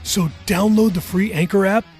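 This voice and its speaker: A man's voice: sits high, fairly even intonation, normal speed, darkish tone, faint music behind. A man hosting a podcast, giving a chatty, informal, upbeat promo read. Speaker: podcast host.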